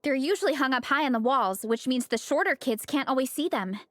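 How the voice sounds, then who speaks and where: A woman's voice: sits high, animated, brisk, dry recording. An actress voicing an upbeat, sociable young woman in a video game, telling things in a breezy, friendly way. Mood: cheerful, reminiscing. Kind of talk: English video game voice acting.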